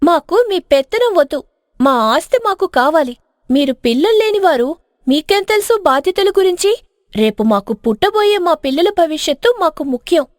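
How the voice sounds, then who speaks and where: A woman's voice: extremely high pitch, expressive, moderate pace, no room sound, clean studio sound. A woman voicing a discontented, assertive young village wife in a story, complaining and insistent. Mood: defiant.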